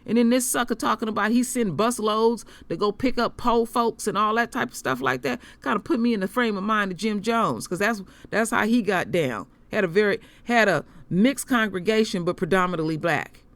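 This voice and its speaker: A man's voice: normal speed, few pauses, a bit bright, no room sound. A middle-aged man hosting his own commentary, talking unscripted and passionately to listeners he calls his family, heating up into rants. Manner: impassioned, preachy, addresses listeners as royal family; heated and ranting, rising pitch when angry. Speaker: online commentary host.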